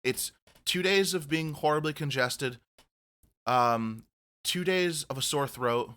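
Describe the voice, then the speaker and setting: A man's voice: fairly high pitch, slow, pushed and bright. A man co-hosting a casual podcast chat, rambling and swearing and often correcting himself mid-sentence. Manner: rambling, profane, self-correcting. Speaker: podcast co-host.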